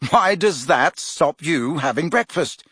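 A man's voice: on the high side, lively intonation, a little slow, a bit bright, husky, no room sound, studio quality, full character voice. A man voicing a practical, puzzled teenage boy wizard in an audiobook, blunt and a little impatient.

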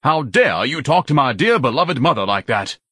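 A man's voice: medium pitch, very animated, moderate pace, bright, forceful tone, breathy edge, dry recording, clean studio sound, pitched above the performer's own voice, exaggerated voice. A man voicing a pompous, haughty grown man who lectures his mother.

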